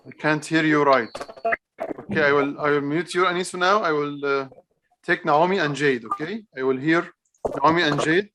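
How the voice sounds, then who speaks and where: A man's voice: on the high side, fairly even intonation, noisy background. Hesitant male teacher on a video call. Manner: hesitant, halting, repetitive phrasing. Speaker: computer teacher for young children. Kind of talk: online class instruction.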